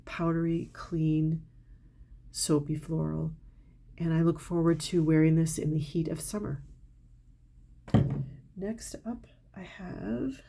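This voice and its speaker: A woman's voice: low-pitched, vocal fry, mellow. A middle-aged woman, congested from a cold, chatting candidly and enthusiastically as she reviews a haul of perfumes for her channel. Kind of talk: casual product haul talk to camera.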